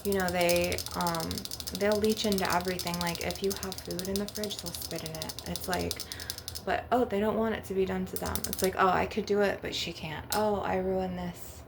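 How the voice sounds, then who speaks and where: A woman with heavy vocal fry hosts a rambling, casual ASMR monologue. Her intonation stays fairly even as she keeps checking in with the listener. The recording is noticeably noisy, with clicks and taps on crystal and glass. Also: on the low side, moderate pace. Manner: casual, repetitive tag questions, frequent swearing. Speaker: host of an ASMR channel. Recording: noisy, with clicking and tapping sounds. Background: crystal and glass tapping.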